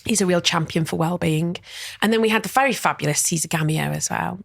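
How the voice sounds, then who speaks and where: Gravelly-voiced woman chatting in an interview. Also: on the low side, fast talker, no echo, studio quality. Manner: chatty, warm-hearted, many fillers and "you know". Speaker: guest, wellness event director. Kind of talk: casual interview chat.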